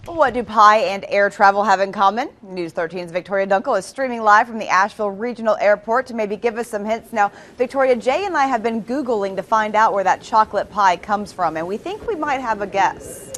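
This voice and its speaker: A woman's voice: mid-range voice, a little fast, few pauses, a bit bright. A woman anchoring television news, reading scripted introductions and handing off to a live reporter. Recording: faint background noise.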